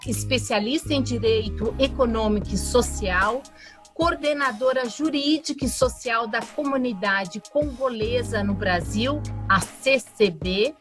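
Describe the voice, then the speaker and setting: A woman co-hosting a podcast, reading a scripted guest introduction at a deliberate pace. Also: on the high side, fairly bright tone. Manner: slow, deliberate delivery. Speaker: podcast co-host.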